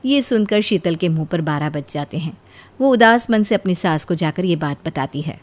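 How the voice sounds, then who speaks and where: A woman's voice: fairly low pitch, lively intonation, moderate pace. A woman narrating a scripted story as its storyteller.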